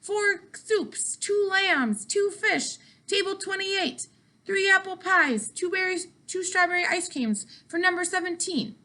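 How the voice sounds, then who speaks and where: A woman's voice: very high voice, voice pushed up, heavily acted. A woman voicing a harried waiter calling out food orders in a storybook. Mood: urgent. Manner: shouting, rapid-fire listing.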